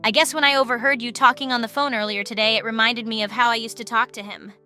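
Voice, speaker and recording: High, hyper, excitable cartoon girl. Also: fast, soft background music. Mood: sad, confessional. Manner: chatty, earnest, dramatic exclamations. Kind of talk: animated web series voice acting.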